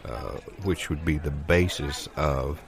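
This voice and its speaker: A man improvising comedy dialogue in a deadpan, rambling, lecturing way. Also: extremely low pitch, slow, vocal fry.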